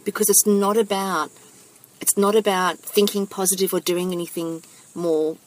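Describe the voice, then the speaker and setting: A woman's voice: medium pitch, steady tone, normal speed, slight background noise, rough recording. A woman giving conversational coaching advice, emphatic and repeating her key phrases. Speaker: panel speaker giving advice.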